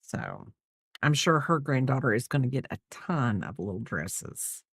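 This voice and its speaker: A woman's voice: very deep voice, sing-song, measured pace, gravelly, husky, no room sound, clean studio sound. A middle-aged woman chatting casually as a crochet host, in a rambling way, trailing off and repeating herself. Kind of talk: casual chat while crocheting, counting stitches aloud.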